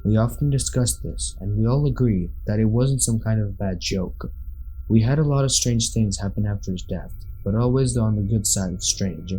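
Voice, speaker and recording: A man's voice: fairly low pitch, normal speed, mellow, slightly rough, poor audio. A man reading scripted first-person accounts of scary true stories as a narrator.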